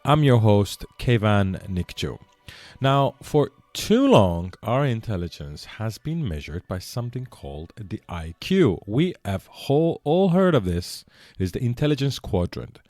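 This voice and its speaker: A man's voice: on the low side, sing-song, unhurried, a little mellow, no room sound. A man born in Iran and raised speaking Persian, hosting a solo podcast, explaining earnestly with frequent self-corrections. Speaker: podcast host.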